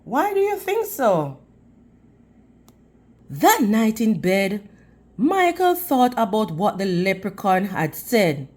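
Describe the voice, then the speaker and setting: A woman reading a storybook aloud in an expressive, teacherly way, putting questions to young listeners. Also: mid-range voice. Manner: deliberate, teacherly, addressing children. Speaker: children's read-aloud storyteller.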